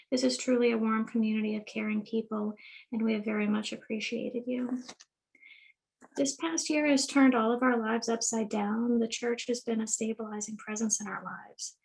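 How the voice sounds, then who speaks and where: A woman's voice: a little high, vocal fry, a little mellow, husky, rough recording. A woman in her thirties reading an earnest church testimonial over a video call. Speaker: church member giving testimonial.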